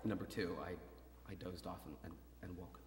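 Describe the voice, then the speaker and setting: An actor playing a maimed young soldier, desperately hopeful, in a halting, intense dramatic monologue that moves between murmurs and cries. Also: male voice, low voice, fairly even intonation, noisy background, close to an everyday voice. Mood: tense, hushed. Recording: reverberant hall, stage performance.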